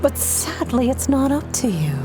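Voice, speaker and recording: An actress playing a wry, defiant treasure-hunting adventuress in a 1930s-set audio drama, talking in a dry, sarcastic way. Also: female voice, high voice, sing-song, moderate pace, over music. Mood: bitter sarcasm.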